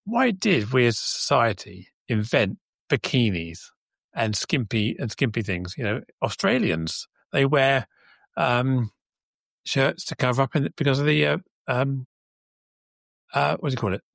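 Host: man, deep and gravelly, relaxed and meandering, dry close sound. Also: measured pace, no echo. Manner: relaxed questioner, meandering phrasing. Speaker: podcast host. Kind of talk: casual podcast interview.

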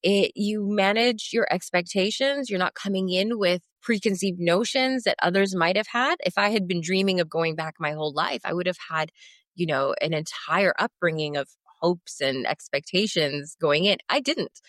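Low-voiced woman, thoughtful interview guest. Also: normal speed, fairly bright tone, no echo, studio quality. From Afghanistan. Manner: reflective, thoughtful. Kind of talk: interview answers.

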